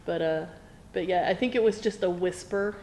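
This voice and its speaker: A woman co-hosting a theatre podcast, chatting in a relaxed, conversational way. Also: fairly low pitch, moderate pace, slight background noise. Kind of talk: casual chat.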